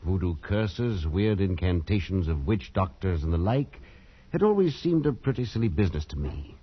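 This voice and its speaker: Deep-voiced, sensible English gentleman. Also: big pitch swings, normal speed, husky, exaggerated voice. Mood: grave storytelling. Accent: British. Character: sensible, sceptical English gentleman, concerned friend and narrator. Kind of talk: post-war radio drama acting and narration. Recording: muffled old radio recording.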